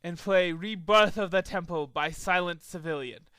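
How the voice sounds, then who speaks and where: A man's voice: high-pitched, moderate pace, bright, forceful tone, clean tone, no echo. A man reading a story aloud as a dramatic amateur narrator, sometimes stumbling over the words. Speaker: amateur fan fiction reader.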